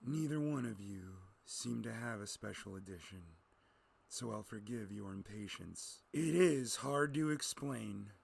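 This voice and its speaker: A man voicing a weary, heartbroken old male hermit who talks slowly and mournfully. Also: fairly low pitch, lively intonation, vocal fry, slightly rough, pitched below the performer's own voice, exaggerated voice. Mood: sorrowful.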